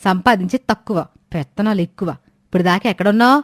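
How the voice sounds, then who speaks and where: A woman voicing a greedy, sharp-tongued village wife who scolds with sarcasm in a fairy tale. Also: medium pitch, fast, no room sound, clean studio sound, voice pushed down, natural voice. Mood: scolding. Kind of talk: acted dialogue in a moral fairy tale.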